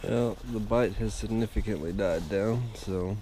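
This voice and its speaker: A man fishing from a kayak on a lake, talking to himself and the fish in a casual vlog, now and then bursting out with excitement. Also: on the low side, unhurried. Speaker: angler. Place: kayak on an open lake, outdoors. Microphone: action camera mounted on the kayak. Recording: loud wind and water noise on a camera mic. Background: wind and water noise.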